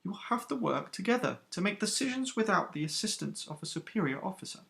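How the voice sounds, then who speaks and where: A stern, clipped woman starship captain with an alien accent, her voice pitched well above a gravelly reader's own, as a man puts her on. She gives orders with crisp authority and no patience for chatter. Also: animated, moderate pace, fairly bright tone. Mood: softer. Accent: stern alien Celestian accent.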